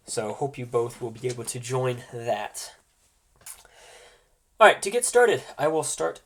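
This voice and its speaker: A man with a mid-range voice, stuffed up and slightly nasal from a cold, hosts a tabletop roleplaying session as game master. He explains in an informal, halting way, finding his words as he goes. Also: mid-range voice. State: congested from a cold.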